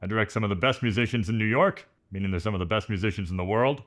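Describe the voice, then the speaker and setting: A man's voice: lowish voice, very fast, fairly bright tone, natural voice. A man playing a menacing, domineering band director in a parody sketch, cutting, condescending and explosive. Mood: cold condescension. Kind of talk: parody sketch of an intense music drama.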